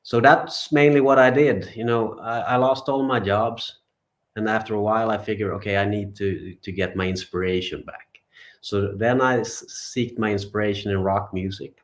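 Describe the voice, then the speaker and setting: A man in his forties from Finland, a guest musician giving relaxed, rambling interview answers. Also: fairly low pitch, moderate pace. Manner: relaxed, rambling, many fillers and restarts.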